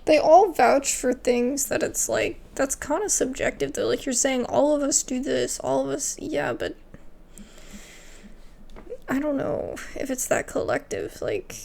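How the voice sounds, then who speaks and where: High, very animated, creaky woman gamer. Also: measured pace. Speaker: gaming video creator. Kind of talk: casual reaction commentary over gameplay.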